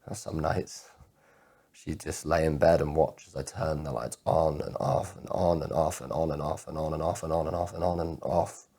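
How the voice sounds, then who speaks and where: A heartbroken, anxious man played by a male actor delivers a confessional monologue in a very deep, dark voice. He stammers over repeated words as his obsessive thoughts intrude, and his tone is husky and rough. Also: steady tone, heavily acted. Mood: sad, subdued. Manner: halting, stammering repetition of words. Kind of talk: dramatic monologue performance of spoken-word poetry.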